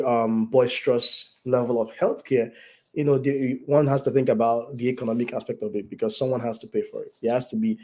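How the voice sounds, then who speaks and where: A man with a mid-range voice gone raspy and hoarse from the flu answers as a guest doctor over a video call. His explanations run long and wind along, with frequent self-corrections and ums. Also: medium pitch, warm tone.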